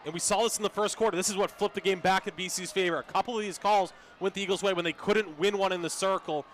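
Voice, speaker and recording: A man's voice: sits high, steady tone, quick pace, a little hiss or hum. A man giving live colour commentary and analysis on a lacrosse radio broadcast.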